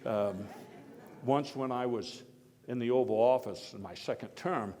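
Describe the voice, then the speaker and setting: Male reenactor as genial historical statesman, medium-pitched man's voice, folksy halting monologue, wry asides, oratorical emphasis, long hall reverb. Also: mellow, close to an everyday voice. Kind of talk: live first-person historical monologue, speech to an audience.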